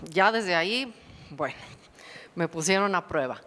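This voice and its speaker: A woman's voice: lowish voice, animated, measured pace, bright, forceful tone. A woman psychotherapist giving a conference lecture, explaining thoughtfully and posing rhetorical questions to the audience. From Mexico. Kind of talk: academic conference talk. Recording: long hall reverb.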